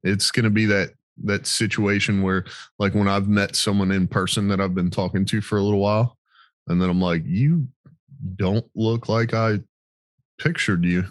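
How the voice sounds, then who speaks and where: A man's voice: lowish voice, steady tone, normal speed, dry recording. An American man hosting a veterans' podcast in casual, sweary, storytelling chat. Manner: informal, sweary. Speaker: podcast host, military veteran.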